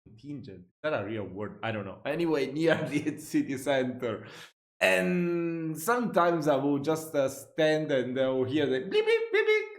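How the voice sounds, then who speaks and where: Guy reacting to a vid, kinda high voice, jokey and laughing, roomy echo. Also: very animated. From Italy. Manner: chatty, jokey, quick to laugh and swear. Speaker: reaction video host. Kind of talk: casual reaction commentary. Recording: echoey room.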